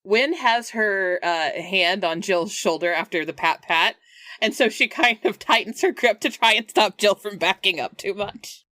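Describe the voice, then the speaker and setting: A woman's voice: medium pitch, unhurried, pushed and bright, no room sound, studio quality. A woman casually narrating her character's moves as a tabletop game player. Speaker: player on a roleplaying podcast.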